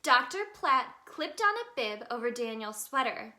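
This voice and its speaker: A woman reading a children's picture book aloud as a storyteller, in an expressive, sing-song manner aimed at young children. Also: a little high, a little slow. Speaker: storyteller in character as the Tooth Fairy.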